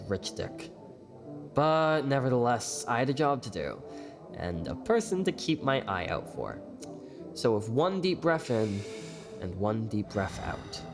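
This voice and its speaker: Sassy, sardonic young waiter played by a man. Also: fairly low pitch. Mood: scornful, wry. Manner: teasing, sarcastic, sweary. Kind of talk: audio drama acting with first-person narration. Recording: faint music behind the voice.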